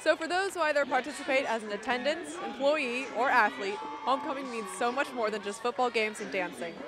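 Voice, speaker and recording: A teenage girl narrating a school homecoming segment with a bright, upbeat read. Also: sits high, fairly quick, a crowd in the background. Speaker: student reporter. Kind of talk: scripted news narration.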